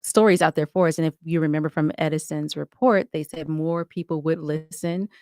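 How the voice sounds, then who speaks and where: A woman's voice: low-pitched, steady tone, fairly quick, darkish tone, no echo, studio quality. A woman giving talkative, explanatory answers as a guest in a conversational podcast interview. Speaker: podcast guest, audio drama creator.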